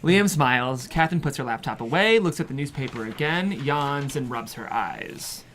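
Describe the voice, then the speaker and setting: A narrator reading screenplay stage directions in an expressive, measured way at a table read. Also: medium pitch, slight background noise.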